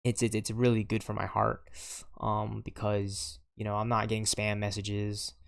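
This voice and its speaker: A man's voice: fairly low pitch, completely flat delivery, moderate pace, vocal fry, clean tone. A man in his twenties talking casually over gameplay, rambling with many fillers and repeats. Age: 20s. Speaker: gaming streamer.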